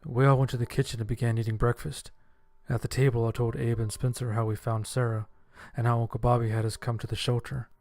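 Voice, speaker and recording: A man's voice: mid-range voice, flat delivery, quick pace, a little mellow. A man narrating a scripted horror story in the first person.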